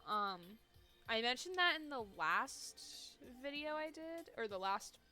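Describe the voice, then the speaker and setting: A woman's voice: sits high, animated, slow pace, pushed and bright, faint music behind. A young woman streamer who sounds congested, chatting casually and laughing as she plays a game live. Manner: chatty, laughs often, swears freely. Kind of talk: casual live gameplay commentary.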